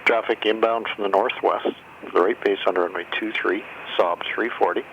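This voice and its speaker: A man's voice: fairly low pitch, fairly even intonation, normal speed, a bit bright, dull audio. A man giving clipped traffic and wind advisories as a flight service radio operator over aviation radio. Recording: band-limited radio with faint noise.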